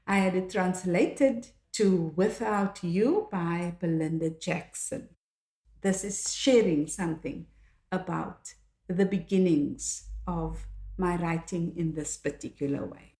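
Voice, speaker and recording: An older woman from South Africa, a native Afrikaans speaker with a South African accent, reflecting on her own life in a measured, earnest way. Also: on the low side, warm tone. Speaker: author and podcast host. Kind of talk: reflective personal monologue. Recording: echoey room.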